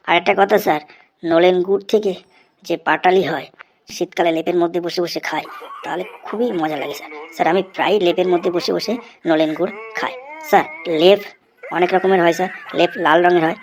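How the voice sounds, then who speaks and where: A woman's voice: lowish voice, a little mellow, lightly acted. A woman voicing an eager, cheeky, long-winded schoolboy in a comedy cartoon, speaking earnestly and respectfully. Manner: deferential, earnest, rambling classroom recitation. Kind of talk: Bengali comedy cartoon voice acting, recitation.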